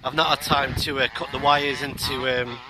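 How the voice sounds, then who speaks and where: Middle-aged man, medium pitch, casual vlog talk, hesitant, outdoor phone recording, light noise. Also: flat delivery, normal speed. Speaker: amateur radio operator and vlogger. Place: outdoors on open heathland by a road.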